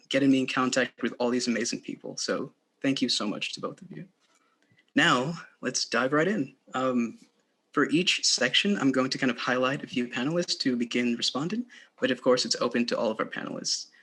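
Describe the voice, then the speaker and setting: An enthusiastic man hosting a panel discussion over a video call. He speaks at a moderate, ordinary pitch and welcomes his guests with warm energy. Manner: upbeat, enthusiastic, encouraging.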